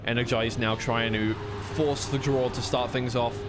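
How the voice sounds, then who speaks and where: A man's voice: mid-range voice, steady tone, moderate pace, background noise. A man commentating live on an esports racing match, casually rambling and correcting himself between bursts of play-by-play. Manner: rambling, self-correcting asides.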